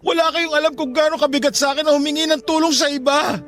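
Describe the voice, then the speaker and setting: A man's voice: very high-pitched, steady tone, fast, a bit bright, faint music behind. An actor playing a struggling grown son in a radio drama, defensive and bitter, ashamed of how he earns money. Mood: anguished. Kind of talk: Tagalog radio drama acting.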